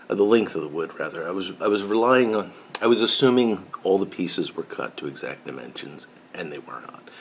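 A man's voice: sits low, creaky, slight background noise. A middle-aged man chatting casually to camera from his home workshop, rambling and often correcting himself. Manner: rambling and self-correcting, with frequent fillers. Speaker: pipe-smoking woodworking channel host. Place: home workshop with pegboard of tools.

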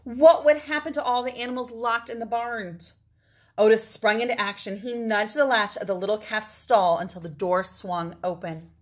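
A middle-aged woman reads a children's storybook aloud, with dramatic phrasing and drawn-out words for emphasis. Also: fairly high pitch, steady tone. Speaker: storybook reader. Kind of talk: expressive read-aloud of a children's picture book.

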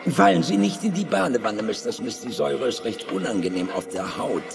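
An actor playing a sinister scientist in an audio horror drama, mockingly courteous and coolly murderous. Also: male voice, medium pitch, animated, fairly quick, vocal fry, over music. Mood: coolly menacing.

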